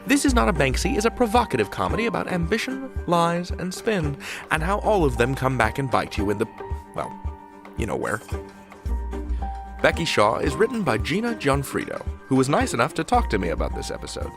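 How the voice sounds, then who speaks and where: A man hosting a podcast, speaking in a playful, theatrical announcer's way with deliberate pauses. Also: a little high, wildly swooping pitch, gravelly, over music. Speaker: podcast host. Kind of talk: scripted podcast narration and interview.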